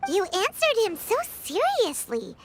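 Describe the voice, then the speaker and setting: A woman voicing a tiny, childlike fairy companion in a video game, squeaky, perky, blunt and cheeky. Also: wildly swooping pitch, a little slow, slight background noise, heavily acted. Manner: refers to self in third person, cheeky.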